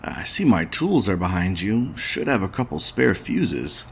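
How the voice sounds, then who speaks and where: A man's voice: low-pitched, big pitch swings, measured pace, vocal fry, slight background noise, heavily acted. A man voicing a skeptical, stubborn space station repairman in a noir adventure game, with a wry, questioning manner. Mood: suspicious, determined. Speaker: video game protagonist. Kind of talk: noir point-and-click game voice acting.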